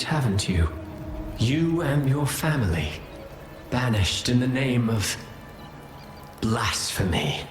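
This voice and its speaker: A slow, menacing male inquisitor from a video game, pompous and taunting. His voice rings through a long hall reverb with music clearly behind it. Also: mid-range voice. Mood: mocking. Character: menacing, theatrical male inquisitor of a religious order. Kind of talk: dramatic video game voice acting.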